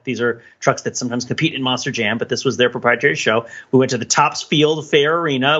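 A man's voice: mid-range voice, bright, forceful tone, muffled recording. A man co-hosting a podcast in casual talk, with a wry, wordy, analytical way of explaining things. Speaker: podcast co-host.

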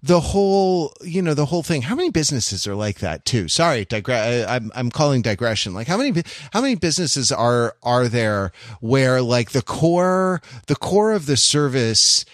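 A man talks casually as a podcast co-host, in an average-pitched voice recorded very dry. He tells things in an animated, rambling way, drifting into digressions and dropping in wry asides. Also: moderate pace, few pauses, no echo.

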